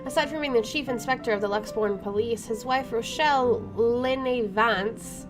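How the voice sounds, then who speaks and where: A woman's voice: fairly high pitch, normal speed. A woman doing a let's play, reading game text aloud with wry, informal asides and interrupting herself as she goes. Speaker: gaming streamer. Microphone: microphone placed to one side. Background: game music.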